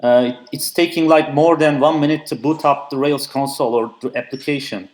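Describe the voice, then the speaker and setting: Young man, mid-pitched and loose, talking shop over an echoey remote feed. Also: fairly even intonation, fairly quick, a little mellow. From Turkey. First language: Turkish. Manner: frequent "uh" fillers, loose and chatty. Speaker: conference speaker. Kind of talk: casual technical talk. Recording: echoey remote call audio.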